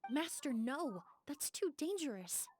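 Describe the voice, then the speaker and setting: A woman voicing a protective, devoted, cautious and polite young woman in a video game, with a breathy voice. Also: sits high, sing-song, unhurried, darkish tone, soft background music. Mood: alarmed.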